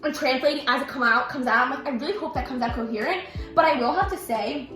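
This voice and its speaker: A young woman whose first language is Russian talks in a quick, chatty way, full of "like"s and fillers. Her voice sits fairly high. The room sounds echoey, and music plays clearly in the background. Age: young adult. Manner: chatty, fast, many fillers. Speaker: student community president. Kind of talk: casual chat.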